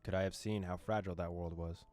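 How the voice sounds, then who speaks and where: A man reading a visual novel's text aloud as a streamer, with quick joking asides. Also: sits low, fairly even intonation, a little mellow. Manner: reads dialogue aloud, breaks off with joking asides and laughs. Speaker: gaming streamer. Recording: faint game music behind.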